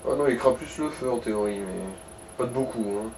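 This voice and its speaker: A man's voice: medium pitch, a little fast, gravelly, a little mellow, a little hiss or hum, rough recording. A man giving casual, slangy gaming advice in speech, explaining tactics with crude language. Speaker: let's play co-commentator advising the player.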